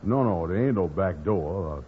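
A man's voice: low-pitched, animated, moderate pace, slightly rough. A man playing a boastful, lovestruck schemer in folksy dialect on a 1940s radio comedy. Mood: smug, smitten. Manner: folksy dialect grammar, self-satisfied. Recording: tinny, noisy old radio recording.

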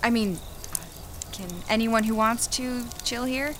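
An American actress playing a young, cynical warehouse picker, wry and argumentative, in a naturalistic audio drama. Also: female voice, on the high side, fairly even intonation, measured pace, slight background noise, lightly acted. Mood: hesitant.

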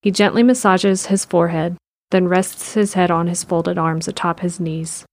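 A woman narrating an audio drama in a calm, steady read. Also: fairly low pitch, normal speed, faint music behind.

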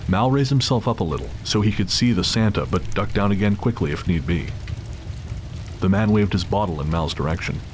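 A man's voice: deep voice, brisk, slight background noise. A man giving dramatic scripted narration of a thriller story as its narrator. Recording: music and rain under the voice.

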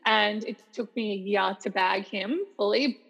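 An Australian woman in her thirties, a salon owner chatting as a podcast guest, telling her story in a lively, laughing way. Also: medium pitch, vocal fry, slightly rough, poor audio. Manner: chatty, laughs often, quick restarts. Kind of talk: casual podcast interview.

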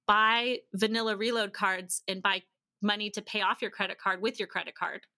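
A woman guest on a podcast, telling stories in a casual, animated way and acting out bits of dialogue. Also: medium pitch, normal speed, no room sound. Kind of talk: casual conversational storytelling.

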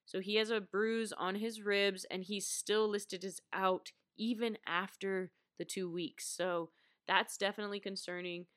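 A woman's voice: medium pitch, fairly even intonation, measured pace, fairly bright tone, no room sound, studio quality. A young adult woman with a stuffed-up, congested voice, chatting casually as a basketball podcast host, with lots of "like" and laughs. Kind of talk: casual chat.

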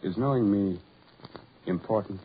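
An actor playing a tormented, lovelorn, self-loathing man in a melodramatic 1940s radio horror drama, brooding and halting in delivery. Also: fairly low pitch, close to an everyday voice. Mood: subdued, halting. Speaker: radio actor, lead and narrator. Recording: muffled, noisy 1940s radio recording.

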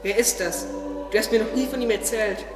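A woman's voice: a little high, brisk, close to an everyday voice. Woman acting storybook talking tree, proud, curious, audio drama, echoey, music underneath. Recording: echoey, long reverb, distant, under music.

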